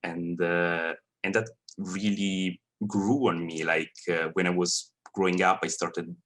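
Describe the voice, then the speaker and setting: A man's voice: low voice, flat delivery, slow pace, fairly bright tone. A man in his thirties with a Swiss Italian accent, an animator giving a friendly, hesitant talk to students. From Italian-speaking Switzerland. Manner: hesitant, many fillers and restarts, friendly. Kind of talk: presentation to students. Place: college lecture room.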